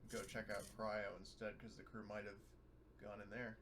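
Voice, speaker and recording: A man's voice: on the low side, little pitch movement, normal speed. A man chatting casually as a player in a tabletop game, recorded on a distant, very noisy mic. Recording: distant mic with loud background noise.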